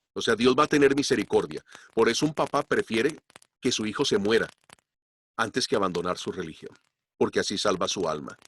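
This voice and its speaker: A man preaching a sermon as pastor, slowing down and pushing up his voice to stress key points. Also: lowish voice, fairly bright tone, slight background noise. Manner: emphatic, slows down to stress points. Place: church.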